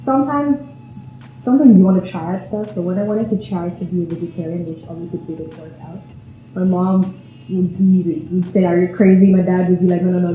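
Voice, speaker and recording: A woman's voice: lowish voice, warm tone, dull audio. A woman in her twenties from Vienna, chatting casually and with a giggly manner in a personal interview. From Vienna, Austria. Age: 20s. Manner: chatty, giggly, breaks into laughter often. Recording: roomy echo, faint music behind.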